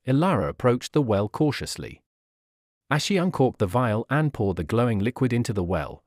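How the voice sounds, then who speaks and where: A man's voice: medium pitch, a little mellow, no echo, studio quality. A man narrating a scripted fairy tale for children as a storyteller.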